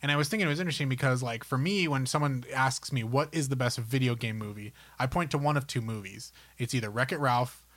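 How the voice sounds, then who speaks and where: A man's voice: medium pitch, fast talker, few pauses, fairly bright tone. A man co-hosting a podcast, talking about films and games in an enthusiastic, rambling, conversational way. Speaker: podcast co-host. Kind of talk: casual film and gaming chat.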